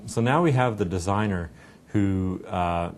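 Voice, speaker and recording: A middle-aged American man walks through a software product in a deep, low voice. He explains things informally and conversationally, as a company representative would. A noticeable low rumble sits behind his voice. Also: a little slow. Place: indoor meeting room. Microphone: lapel mic.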